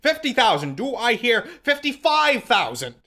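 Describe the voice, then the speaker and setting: Man as a very high, bright, fussy auctioneer. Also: very animated, normal speed, heavily acted. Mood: brisk, formal. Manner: formal, repetitive auction calling. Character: fussy, obedient crooked auctioneer. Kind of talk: old-time radio adventure acting.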